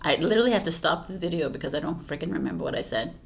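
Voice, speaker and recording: A woman's voice: deep voice, animated, rapid-fire, gravelly, slight background noise. A woman tarot reader chatting casually to camera, laughing at herself, restarting often and losing her voice. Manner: giggly, scattered, restarts often. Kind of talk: casual spiritual talk to camera.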